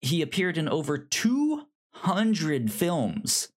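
Podcast co-host, sex unclear, medium pitch, stammering, repeated words, casual film discussion. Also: wildly swooping pitch, slow pace.

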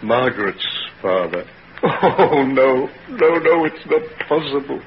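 An actor playing a guilt-stricken, horrified gentleman doctor in 1940s radio melodrama, pleading and halting on an old recording. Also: mid-range voice, expressive, dull audio, a little hiss or hum. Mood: horrified despair.

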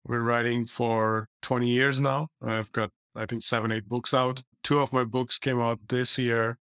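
A man in his fifties, originally from Bangladesh, talks through his backstory in a plain, matter-of-fact way. His voice sounds smooth and resonant, recorded close and dry with no room around it, at a medium pitch. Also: level tone, measured pace, fairly bright tone, no room sound. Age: 50s. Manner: plain, matter-of-fact storytelling with small restarts. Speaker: guest, entrepreneur and author. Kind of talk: casual interview answers.

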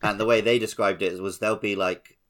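A man's voice: on the low side, fairly even intonation, fairly quick, fairly bright tone. A man co-hosting a podcast, chatting casually about a video game. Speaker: podcast co-host; teacher.

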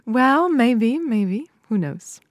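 A woman's voice: on the high side, expressive, very slow, no echo. A woman hosting a radio chat in a studio, asking curious questions in a light, easygoing manner. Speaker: radio host. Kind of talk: casual interview chat.

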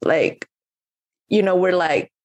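A woman's voice: lowish voice, lively intonation, takes it slowly, darkish tone, no room sound. Chatty woman from Vietnam, dry sound. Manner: rambling, many fillers and restarts, laughs often. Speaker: guest, coach. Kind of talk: casual interview chat.

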